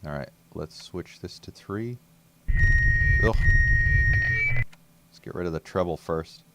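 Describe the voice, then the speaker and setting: Let's play host, man: very deep voice, laid-back, mutters and curses at the game. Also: takes it slowly, heavy background noise. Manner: laid-back, muttering to himself, occasional cursing. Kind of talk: casual gameplay commentary.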